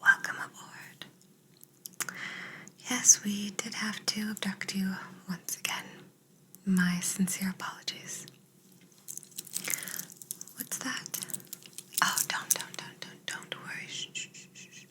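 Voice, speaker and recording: A woman playing a polite, soothing female alien abductor in a whispered ASMR roleplay, gently reassuring and clinical in manner. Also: mid-range voice, slow pace, long pauses, poor audio. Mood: reassuring, apologetic. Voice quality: breathy unvoiced whisper.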